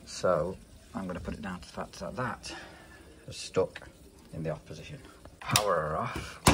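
A man's voice: low voice, background noise. A man in his thirties, a plumber, narrating matter-of-factly as he works on a job in a customer's home. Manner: matter-of-fact, talks while working. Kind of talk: working vlog narration.

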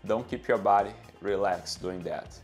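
A man's voice: lowish voice, normal speed, darkish tone, soft background music. A man in his thirties from Brazil with a Brazilian Portuguese accent, a fitness trainer giving exercise instructions to camera and counting reps aloud. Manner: coaching, counts reps aloud, non-native grammar. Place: bright indoor room with anatomy posters. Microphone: clip-on lapel mic.

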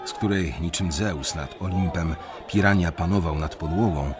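An actor playing an anxious young banker who narrates his own story in a confiding, measured, dramatic way in an audio drama. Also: deep voice, over music, natural voice. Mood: reflective, uneasy. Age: young adult.

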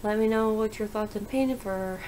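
A woman's voice: mid-range voice, fairly quick, darkish tone, slight background noise, poor audio. A young woman talking casually to her webcam in a small bedroom, rambling with frequent restarts and fillers. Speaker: vlogger. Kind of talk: casual webcam vlog, TV episode predictions. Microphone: webcam at desk.

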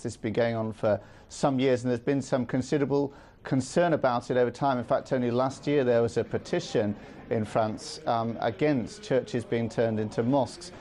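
A middle-aged man speaking as a guest in a live news interview, measured and earnest, with frequent ums. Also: medium pitch, fairly even intonation, a little mellow. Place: studio with city view.

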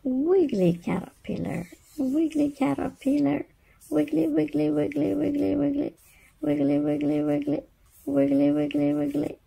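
A woman's voice: high voice, fairly even intonation, unhurried, gravelly, warm tone. A woman reading a picture book aloud to babies and toddlers in a playful sing-song way, repeating words. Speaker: children's read-aloud channel host.

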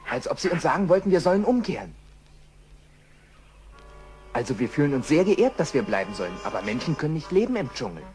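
An actor playing a kind, level-headed expedition survivor in a children's radio play, speaking earnestly and emphatically. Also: male voice, on the high side, very animated, a little fast, pauses often, a little mellow. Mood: urging, reasonable. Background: music.

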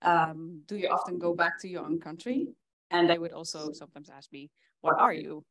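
A woman's voice: fairly low pitch, moderate pace, crowd noise. Earnest young woman giving a talk. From Netherlands. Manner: earnest, with frequent fillers. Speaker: conference speaker. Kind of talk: presentation talk. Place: conference hall with audience.

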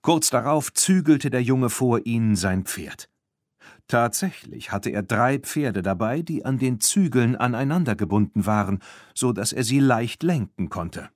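A man narrating a children's audiobook in an expressive, scripted storytelling style. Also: medium pitch, a little slow, no echo, studio quality.

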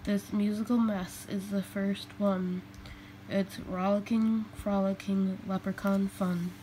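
A sly little storybook leprechaun read by a woman boasts in playful, sing-song rhyme. The delivery is slow and teasing, with faint noise on the home recording. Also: medium pitch, flat delivery, dark tone, natural voice. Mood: gleeful, taunting. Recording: clearly noisy home recording.

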